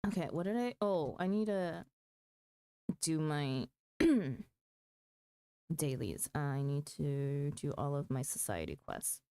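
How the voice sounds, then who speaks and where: A woman with a low-pitched, smooth voice talks casually as she streams a game. She takes her time, thinking out loud and hesitating as she weighs options. The sound is close and dry. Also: animated, takes it slowly, long pauses, no room sound. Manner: hesitant, thinking aloud, mulling over choices. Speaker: game livestreamer. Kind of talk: casual livestream chat about gaming.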